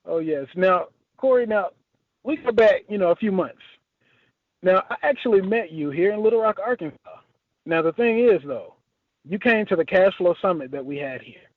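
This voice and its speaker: Male call host, fairly high voice, chatty interviewer, narrow tinny telephone audio. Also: lively intonation, normal speed. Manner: chatty, punctuates with "man" and "now". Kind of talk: conversational interview on a conference call. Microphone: telephone conference call. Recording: tinny phone line, narrow band.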